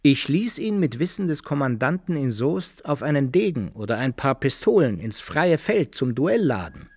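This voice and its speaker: A man's voice: mid-range voice, very animated, moderate pace, darkish tone, husky, dry recording, clean studio sound. An actor narrating a radio play in the first person as a worldly adventurer recounting his exploits with wry storytelling.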